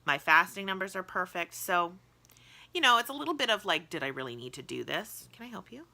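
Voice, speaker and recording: A woman's voice: on the low side, very animated, a little fast, creaky, pushed and bright. A congested, sniffly young woman chatting casually and laughing often as she co-hosts a pregnancy vlog. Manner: chatty, laughs often, talks sweetly to pets. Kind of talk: casual chat.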